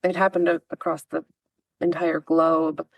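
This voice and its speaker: A woman from the United States hosting an interview podcast, reflective and measured as she asks questions. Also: low-pitched, little pitch movement, gravelly, dry recording. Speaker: podcast host.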